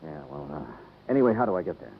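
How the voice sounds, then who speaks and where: A man's voice: rumbling low, big pitch swings, fast, gravelly, a little hiss or hum, heavily acted. A tinny vintage radio recording of a dogged American male investigator. He swings expressively through his lines, clipped and dryly wry, in 1950s detective radio style. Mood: polite, persistent. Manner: clipped, probing, dry. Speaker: lead actor and narrator.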